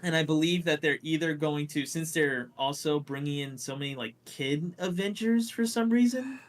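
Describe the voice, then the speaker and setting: A man with a voice a little higher than average talks as a podcast co-host. His style is casual and conversational, full of blunt opinions and loose swearing. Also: moderate pace. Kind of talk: casual pop culture chat.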